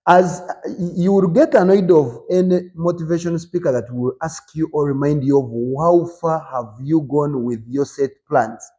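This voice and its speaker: Emphatic motivational man, mellow voice. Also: a little high, normal speed, few pauses. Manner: rhetorical questions with frequent "hmm?"; emphatic, rises sharply on key points. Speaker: show host. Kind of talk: motivational talk to viewers.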